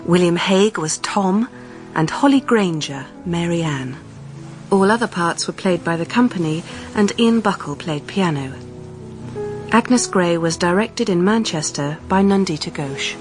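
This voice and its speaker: A woman's voice: lowish voice, lively intonation, measured pace. A woman announcer reading scripted broadcast credits in a formal, composed way. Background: music, piano.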